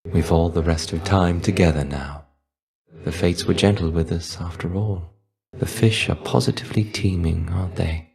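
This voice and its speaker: A man playing a weary, melancholy shade of a fallen ancient Greek warrior in a video game, measured, wistful and dryly understated. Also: low voice, vocal fry, darkish tone. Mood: wistful, musing. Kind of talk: video game character voice lines.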